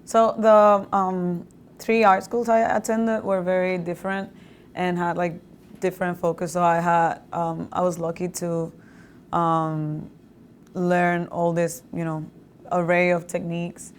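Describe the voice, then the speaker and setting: A young adult woman from the Republic, speaking as an artist in an interview, thoughtful and hesitant with many pauses. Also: fairly low pitch, flat delivery, a little hiss or hum. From Dominican Republic. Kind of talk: conversational interview. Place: university art gallery.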